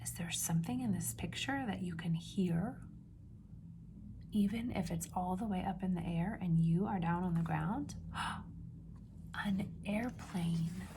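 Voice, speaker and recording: A woman's voice: lowish voice, background noise. A woman reading a picture book aloud to young children like a teacher, asking simple questions and stressing key words. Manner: instructive, asks simple questions and pauses before confirming answers.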